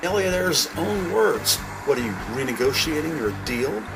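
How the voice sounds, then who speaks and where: Higher-pitched male crime drama narrator over music. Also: expressive, moderate pace, rough recording. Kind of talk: scripted audio drama narration, crime story. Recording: prominent music under the voice.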